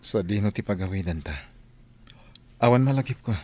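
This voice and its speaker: A man's voice: on the low side, animated, moderate pace, warm tone. A man with an Ilocano Filipino accent playing a bewildered, injured amnesiac in a radio drama. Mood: confused.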